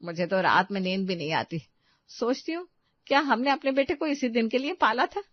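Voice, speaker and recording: A woman's voice: a little high, lively intonation, brisk, dry recording, muffled recording, pitched above the performer's own voice. A woman voicing a tender, hurt old grandmother in a storybook tale, plaintive and motherly. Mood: hurt, weary. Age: older.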